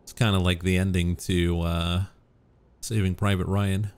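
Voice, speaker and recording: A young man streaming a game, chatting casually and joking with viewers, his throat a little hoarse. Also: deep voice, little pitch movement, measured pace, darkish tone. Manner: joking, self-mocking, musing aloud. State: slightly hoarse. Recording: faint game music behind.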